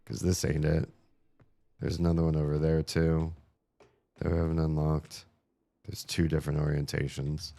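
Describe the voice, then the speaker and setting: A man streaming a puzzle game, grumbling sarcastically about the puzzles while his voice is going hoarse. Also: a bass voice, completely flat delivery, normal speed, long pauses, warm tone, dry recording. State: losing his voice. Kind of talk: casual live-stream commentary.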